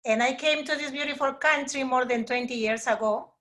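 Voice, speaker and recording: A woman with a Peruvian Spanish accent speaks English as a second language in a fairly high voice. She is eager and sometimes searches for words. The sound comes through a video call. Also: brisk, fairly bright tone. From Peru. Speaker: Spanish teacher. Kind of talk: school open house presentation.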